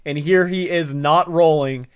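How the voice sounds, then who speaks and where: A man hosting casual, joking reaction commentary in an animated, sweary manner. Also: fairly high pitch, unhurried, a little hiss or hum. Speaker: host.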